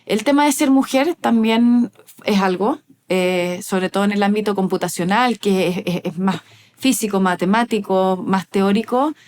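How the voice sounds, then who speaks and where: A woman talks as an interview guest. Her pitch sits mid-range. She speaks in a thoughtful, halting way, filling gaps with soft hesitations and restarting her sentences. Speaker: neuroscience researcher guest. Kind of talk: casual interview conversation.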